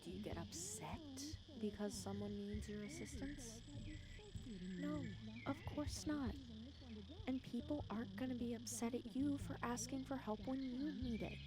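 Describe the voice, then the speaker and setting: A woman's voice: on the high side, moderate pace, crowd noise, close to an everyday voice. A woman playing a wry, bossy but caring female villain who fusses over her hero nemesis in an intimate ASMR roleplay. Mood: earnest, gentle reproach. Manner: intimate, wry, fussing and bossy with soft teasing. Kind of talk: ASMR roleplay acting, one side of a conversation. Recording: clear cricket and insect ambience behind. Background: crickets and outdoor night insects.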